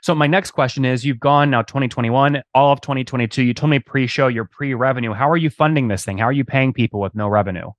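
Quick, direct male interviewer. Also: medium pitch. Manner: direct, probing questions. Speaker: podcast host.

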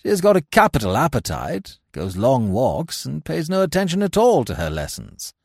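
A man playing a fussy young gentleman who talks in an irritable, flustered way. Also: mid-range voice, huge pitch swings, normal speed, vocal fry, breathy edge, no echo, studio quality, voice pushed up, heavily acted. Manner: peevish, flustered.

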